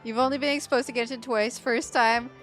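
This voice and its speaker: A woman's voice: a little high, steady tone, brisk, a bit bright. A woman from the Philippines giving casual, jokey, slangy commentary as she streams a game playthrough. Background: game music.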